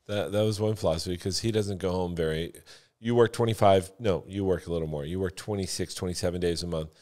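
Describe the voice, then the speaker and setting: A man's voice: deep voice, fairly even intonation, fast talker, darkish tone. A man in casual podcast banter, telling sales stories assertively and repeating himself for emphasis. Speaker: former car salesman and floor manager, podcast talker.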